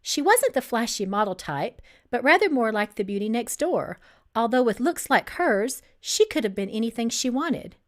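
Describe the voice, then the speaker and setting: A woman narrates a novel in a live reading. Her voice is high, with highly animated intonation that follows the scripted story. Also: moderate pace. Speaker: author reading own novel.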